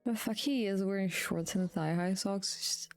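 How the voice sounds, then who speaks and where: A woman's voice: medium pitch, moderate pace, soft background music. A woman livestreaming a game, giving casual off-the-cuff commentary as she plays. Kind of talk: casual live gameplay commentary.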